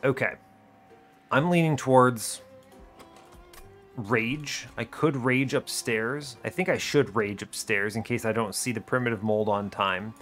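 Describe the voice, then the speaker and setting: A man giving strategy game commentary as host, thinking aloud in a deliberate, explanatory way and often questioning his own choices. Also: medium pitch, fairly bright tone, faint music behind. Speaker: gaming video host.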